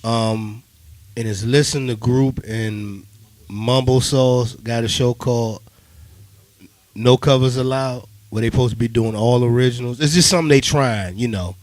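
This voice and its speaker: A man's voice: medium pitch, unhurried, a little mellow, no room sound. A man interviewing a guest on a radio show in a casual, conversational way.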